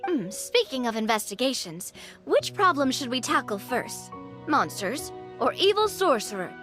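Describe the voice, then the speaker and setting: A high, lively young woman's voice as an upbeat monster hunter in an anime-style game. She is playful and inviting, with faint music behind. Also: moderate pace. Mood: pondering, playful.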